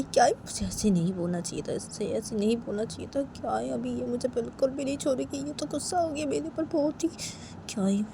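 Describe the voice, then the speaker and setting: A woman's voice: on the high side, dark tone, a little hiss or hum, voice pushed up, natural voice. A woman voicing an exasperated dinosaur father in a story, blustering and repeating himself insistently. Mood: worried muttering.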